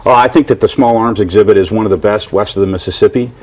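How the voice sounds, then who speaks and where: A man with a low voice speaks earnestly as a military officer interviewed on TV. His words come through slightly dull broadcast audio. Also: fast. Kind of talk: TV studio interview.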